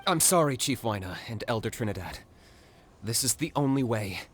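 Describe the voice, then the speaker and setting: An actor playing a cool, confident young hunter in a video game, terse and decisive. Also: male voice, medium pitch, lively intonation, moderate pace, a little hiss or hum, close to an everyday voice. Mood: calm, resolute.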